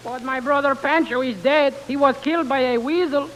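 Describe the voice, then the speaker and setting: A crackly old radio recording carries an extremely high-pitched young Mexican suitor. He is boastful and dim, with comic broken English and a mock Mexican accent. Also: brisk. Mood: earnest, mournful. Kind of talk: 1940s radio comedy acting.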